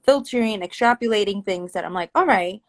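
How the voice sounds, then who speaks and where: A woman's voice: medium pitch, a little fast. Reflective woman, English not her first language. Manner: reflective, thinking aloud with many "like" fillers and restarts. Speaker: therapist guest. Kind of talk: casual conversation on a live stream.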